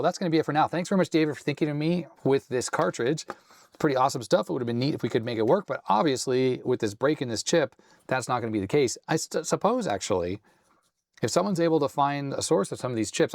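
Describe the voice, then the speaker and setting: A man hosting a hands-on tech video with a slightly hoarse, raspy voice, thinking aloud in a tentative, self-deprecating way. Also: lowish voice, dry recording, clean studio sound. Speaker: host. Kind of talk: casual hands-on tech walkthrough.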